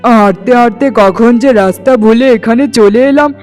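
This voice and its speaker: A man's voice: very high voice, moderate pace, a little mellow, faint music behind. A man playing a meek young village cowherd in a cartoon fairy tale, speaking in a polite, pleading manner. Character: lost, hungry young cowherd flute player, meek and smitten.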